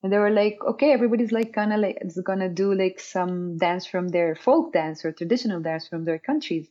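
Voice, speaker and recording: A woman's voice: mid-range voice, brisk, dark tone. A woman from Lebanon, an interview guest, telling her story casually with many fillers and restarts. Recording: dull lo-fi audio.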